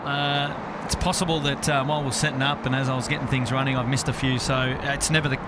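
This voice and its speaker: A man's voice: medium pitch, flat delivery, fast talker. A man hosting a casual live stream, chatting and commentating in an informal way. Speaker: host of a live plane spotting stream. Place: outdoors near an airport at night. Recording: loud outdoor traffic and vehicle noise.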